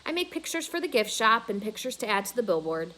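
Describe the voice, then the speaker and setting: Bright-voiced woman reading aloud. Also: mid-range voice, sing-song, fast. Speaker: reader of a children's novel. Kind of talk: read-aloud storytelling.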